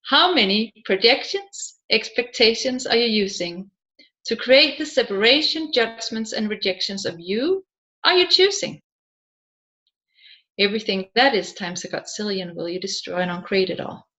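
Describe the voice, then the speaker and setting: A woman reading a scripted audiobook in a measured, deliberate way, phrase by phrase. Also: medium pitch, lively intonation, pushed and bright. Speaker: audiobook narrator.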